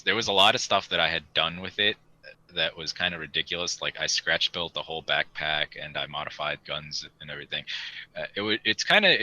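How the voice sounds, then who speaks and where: A man's voice: rumbling low, pushed and bright, rough recording. A young man chatting casually and in a rambling way as a podcast host. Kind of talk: casual chat.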